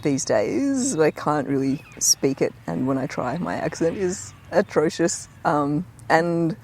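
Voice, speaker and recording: A woman's voice: sits low, sing-song, slow pace, a little mellow, slight background noise. An Australian woman, a guest who grew up speaking Cantonese first, talking casually in a hesitant, rambling way with frequent laughs. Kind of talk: casual conversation.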